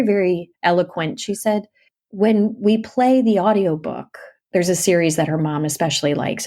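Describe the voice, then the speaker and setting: Animated woman, somewhat low voice. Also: gravelly. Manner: animated storyteller, lively swings, laughs often. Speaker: guest, audiobook narrator. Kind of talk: casual interview chat.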